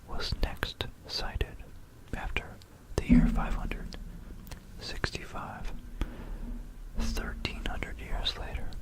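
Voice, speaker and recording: An ASMR host telling history in a breathy, unvoiced whisper, meandering with playful asides to the listener, close to a binaural microphone. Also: low-quality recording.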